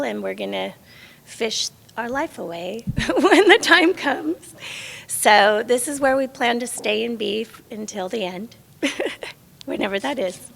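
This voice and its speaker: A woman's voice: medium pitch, creaky, a little hiss or hum. A middle-aged woman from the western US, chatty and plain-spoken, giving an informal self-introduction to a class. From western US, born in Los Angeles and raised in Las Vegas. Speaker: realtor at a speaker training class. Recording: echoey hall.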